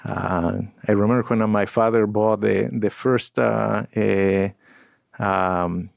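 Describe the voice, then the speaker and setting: Low gravelly man. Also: fairly even intonation, slow pace, dry recording, muffled recording. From Ecuador. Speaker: guest scientist. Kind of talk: podcast interview.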